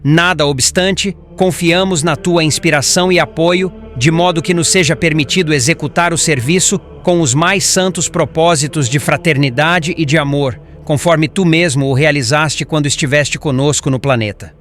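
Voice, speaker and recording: A man's voice: a little high, moderate pace, few pauses, a bit bright, lightly acted. A man voicing a devout, humble spirit guide in an audiobook, praying in a solemn, formal manner. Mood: solemn, pleading. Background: background music.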